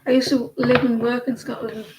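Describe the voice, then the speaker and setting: A woman's voice: on the high side, level tone, moderate pace, background noise. A woman in her forties from northeast England, with a northeast English accent, giving a casual, rambling tarot reading full of fillers and restarts. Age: 40s. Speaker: tarot reader.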